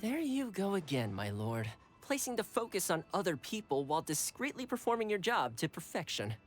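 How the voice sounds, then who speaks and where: Wry teasing servant, low voice with big swoops, some music underneath. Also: male voice, very animated, normal speed. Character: male retainer, playful and teasing.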